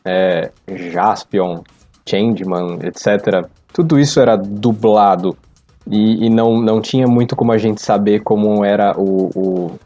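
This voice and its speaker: A middle-aged Brazilian man thinking aloud in a casual, halting way on a podcast. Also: fairly low pitch, mellow, dry recording, soft background music. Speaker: podcast panelist. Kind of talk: casual reflective podcast discussion. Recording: slightly dull lo-fi audio.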